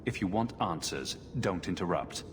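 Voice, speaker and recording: A man playing a blunt, steady adventuring champion in a video game cutscene, speaking directly. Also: low voice, normal speed, background noise.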